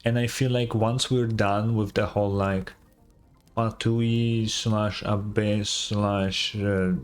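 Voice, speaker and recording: A man's voice: on the low side, little pitch movement, slow pace, clear, resonant tone. Casual male game streamer thinking aloud. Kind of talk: casual reaction commentary.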